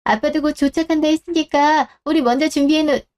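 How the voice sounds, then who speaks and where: A woman voicing a brisk, bossy middle-aged mother and daughter-in-law in a radio drama. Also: sits high, fairly even intonation, a little mellow, voice pushed up, natural voice. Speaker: voice actor reading a radio drama.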